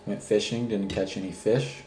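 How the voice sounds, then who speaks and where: A man guesting on a casual podcast chat, laid-back and joking in a low-key way. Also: steady tone, moderate pace, darkish tone. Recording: echoey room, faint background hum.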